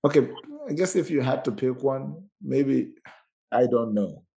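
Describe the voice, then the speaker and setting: A man's voice: medium pitch, expressive, a little slow, gravelly, warm tone, low-quality recording. A man co-hosting a podcast in casual debate, often restarting sentences as he reasons aloud. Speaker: podcast co-host.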